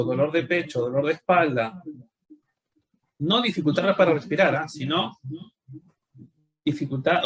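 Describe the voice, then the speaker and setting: A man's voice: a little high, low-quality recording. A man giving an explanatory medical lecture, often posing rhetorical questions. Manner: didactic, rhetorical questions with tag "no?". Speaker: doctor giving a talk.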